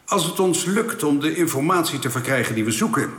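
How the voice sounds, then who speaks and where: An actor playing a courteous, matter-of-fact alien official in a Dutch science fiction radio play, speaking formally and in measured phrases. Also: male voice, fairly high pitch, lively intonation, a bit bright. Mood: businesslike. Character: courteous, matter-of-fact alien official man explaining his planet's laws. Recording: echoey reverberant hall effect.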